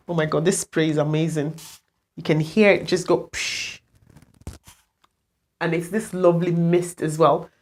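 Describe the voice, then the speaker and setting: A woman with a voice pitched a little low talks in a casual vlog style. She addresses her viewers directly, chatty and explanatory as she goes. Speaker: hair vlogger. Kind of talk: casual vlog update to camera.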